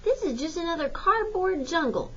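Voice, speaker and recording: A woman's voice: very high-pitched, big pitch swings, moderate pace, lo-fi sound, pitched above the performer's own voice. A woman voicing a cocky, streetwise runaway boy with folksy, slangy speech in an audiobook. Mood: hushed, scornful. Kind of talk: audiobook character voice.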